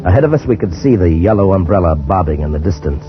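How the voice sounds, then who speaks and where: Muffled old radio, music behind, really deep adventurer narrating his story, earnest and dramatic. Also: expressive, fast talker. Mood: grim, dramatic. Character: earnest male adventurer telling his tale. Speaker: first-person narrator and character. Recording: dull old radio recording, loud music.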